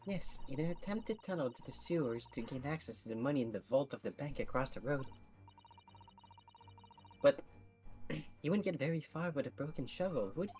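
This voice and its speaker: A man playing a polite, sharp-minded young assistant to a detective, reasoning pointedly in a game fan dub. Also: fairly high pitch, lively intonation, normal speed, long pauses, a little hiss or hum, natural voice.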